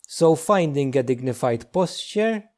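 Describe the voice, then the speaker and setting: A man leading a guided meditation as a teacher, speaking gently and slowly with long pauses. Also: on the high side, animated. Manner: slow, hushed, gentle delivery with long pauses.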